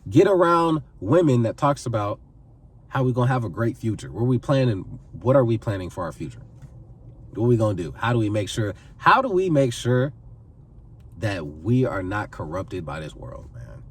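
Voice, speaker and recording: Husky young man giving earnest advice. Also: lowish voice, sing-song, normal speed, distant mic. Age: 20s. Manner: pleading and repetitive, repeats phrases for emphasis. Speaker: vlogger giving life advice. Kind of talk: earnest direct-to-camera advice.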